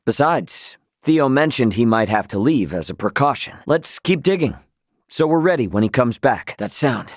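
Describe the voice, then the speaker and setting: A man voicing a brash, loyal young male captain in a video game, talking in an informal, earnest, expressive way. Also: mid-range voice, normal speed, no echo, clean studio sound, lightly acted. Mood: easygoing, determined.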